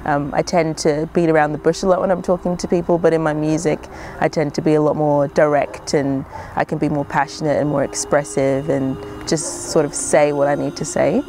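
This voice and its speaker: A young woman from Zimbabwe, a singer-songwriter, speaking reflectively in an interview, often pausing with "um" and "sort of". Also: deep voice, little pitch movement, dark tone, slight background noise. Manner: thoughtful, hedging with frequent "um" and "sort of".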